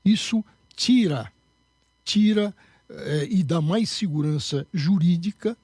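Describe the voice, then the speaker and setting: A man's voice: on the high side, animated, slow, darkish tone, breathy edge, dry recording. A man, a candidate and jurist, speaking emphatically in a political interview. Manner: emphatic, lecturing.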